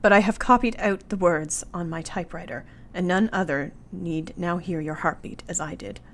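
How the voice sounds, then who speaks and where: An actress playing an earnest, tender young Victorian wife in a radio play, with formal period diction. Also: female voice, fairly low pitch, moderate pace, close to an everyday voice. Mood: gentle, sympathetic.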